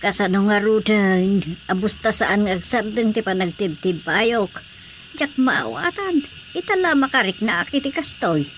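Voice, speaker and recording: A woman's voice: medium pitch, animated, a little slow, slight background noise, close to an everyday voice. A woman playing an emotional, fretful female character in a radio drama.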